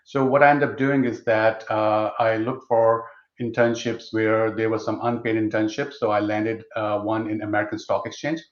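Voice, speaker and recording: A man's voice: on the low side, little pitch movement, normal speed. A man in his fifties from Pakistan, a guest speaking on a video call, hesitant with many fillers. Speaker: guest, IT executive. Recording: dull roomy video call audio.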